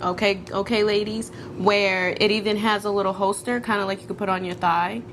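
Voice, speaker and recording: A woman co-hosting a casual chat podcast, animated and chatty, calling listeners girl and ladies. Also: medium pitch, fairly quick, a little hiss or hum, low-quality recording. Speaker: podcast co-host.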